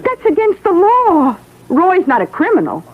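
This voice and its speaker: An actress playing a plain-spoken, good-hearted young housewife in an old-time radio mystery, flustered and hesitant. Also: female voice, extremely high pitch, wildly swooping pitch, normal speed, exaggerated voice. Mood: alarmed. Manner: hesitant, stammering, exclamatory. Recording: narrowband, hissy old radio broadcast.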